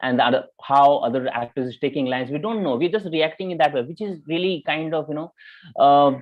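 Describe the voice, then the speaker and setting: Indian guy giving feedback on a video call, kinda halting, repeats himself, dull muffled sound. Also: on the high side. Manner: halting, repetitive, often checking with "you know". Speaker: judge and mentor giving feedback. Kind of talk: spoken critique, informal feedback. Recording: muffled, band-limited online call audio.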